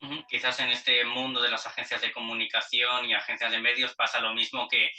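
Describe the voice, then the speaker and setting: A man with a Spanish accent from Spain interviewing in long, discursive questions, hesitant and deliberate, often repeating words. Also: mid-range voice, monotone, sharp tone, distant mic.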